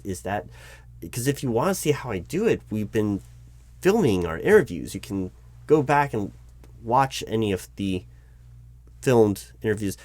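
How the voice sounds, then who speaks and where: A man playing an exasperated corporate hiring manager in a one-sided interview roleplay, talking in a rambling, halting way with stammers and self-corrections. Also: on the low side, darkish tone, dry recording, natural voice. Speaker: roleplay performer. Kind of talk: improvised one-sided job interview roleplay, spoken aloud.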